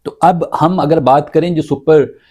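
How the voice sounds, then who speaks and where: A man giving unscripted news analysis, explaining things in a halting way and often repeating his words. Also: fairly high pitch, fairly even intonation, darkish tone, slightly rough. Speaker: news commentator.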